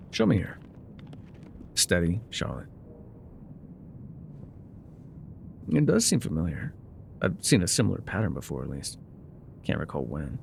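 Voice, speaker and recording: Man playing a low, gravelly frontier guide. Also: a little mellow, slight background noise, exaggerated voice. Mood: curious, thoughtful. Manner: unhurried, musing, folksy, trailing pauses. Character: laconic, weathered male frontier guide, calm and haunted. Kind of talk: immersive ASMR roleplay monologue.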